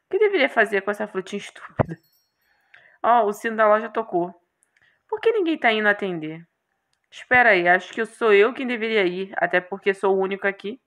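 A woman's voice: medium pitch, expressive, brisk, a bit bright, lightly acted. A woman voicing a brash, irritable young male hero in a game, exclamatory and sarcastic. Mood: irritated.

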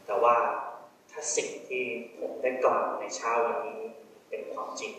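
Earnest Thai preacher in an echoey hall. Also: male voice, medium pitch, flat delivery, normal speed, pushed and bright, far from the mic, faint music behind. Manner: polite, earnest, many polite particles. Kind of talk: altar call sermon. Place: large church hall with stained glass.

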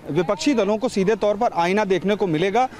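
High-pitched man reporting live outdoors. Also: a little mellow. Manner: measured explanatory, glossing terms. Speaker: field reporter. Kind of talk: live reporting from outside a court. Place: outdoors by a street. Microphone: handheld reporter microphone.

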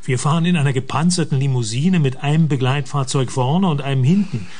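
An actor playing a sceptical, busy middle-aged government minister in a radio play, with a dry, dismissive manner. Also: male voice, mid-range voice, brisk, a little mellow, slight background noise, close to an everyday voice. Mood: impatient.

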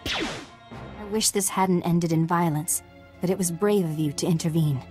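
An actress playing a serene, earnest Jedi knight in a video game, speaking calmly and formally. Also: female voice, on the low side, a little slow, soft background music, close to an everyday voice. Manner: calm, formal. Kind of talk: video game dialogue acting.

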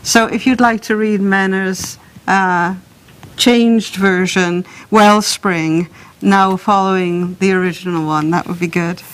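Middle-aged woman, composer giving a talk, unhurried, chuckling storyteller at a podium mic. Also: medium pitch, fairly even intonation, slight background noise, poor audio. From South Wales. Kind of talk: lecture with anecdotes. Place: lecture room with curtains. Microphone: podium microphone.

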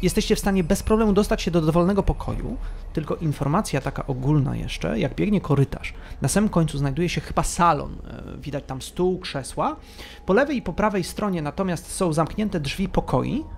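A man narrating a tabletop role-playing game as game master, telling the scene slowly and atmospherically. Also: on the high side, gravelly.

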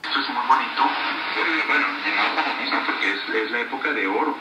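A man's voice: medium pitch, very fast. A man in spontaneous conversational discussion on a shortwave radio broadcast. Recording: very noisy, echoey, distant shortwave radio.

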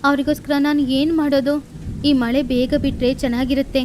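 A woman's voice: high-pitched, little pitch movement, moderate pace, darkish tone, a little hiss or hum, close to an everyday voice. A woman voicing a worried mother sparrow who frets aloud while hosting a feast. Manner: worried, fretting.

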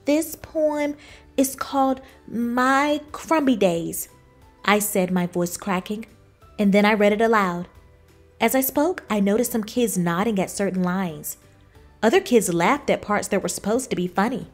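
A woman reading a children's book aloud in expressive storybook narration. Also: medium pitch, normal speed, faint music behind. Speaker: children's book reader, channel host.

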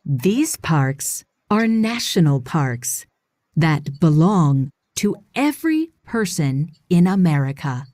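Woman narrator for children's nonfiction read-along; extremely slow, animated intonation, dry studio sound. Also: sits low, no room sound. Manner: deliberate, expressive phrasing for young readers. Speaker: audiobook narrator.

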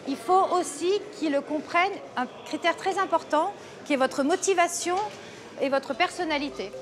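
A woman organizer briefs staff and contestants in a brisk, directive way inside a large venue. Her voice is high. A loud crowd chatters all around her. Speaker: show organizer.

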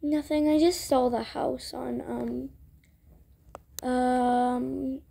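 Woman, high creaky voice, mellow tone, hesitant, improvised roleplay storytelling. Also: level tone, very slow. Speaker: video creator voicing all characters. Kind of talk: improvised character roleplay storytelling for a toy-world game video.

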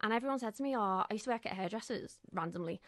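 A young woman, a voice actress guest telling lively stories with quoted voices in a radio interview. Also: mid-range voice, dry recording, clean studio sound. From Merseyside, England. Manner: bubbly, fast storytelling with voiced quotes. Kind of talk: lively anecdotal chat. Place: radio studio. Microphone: studio mic.

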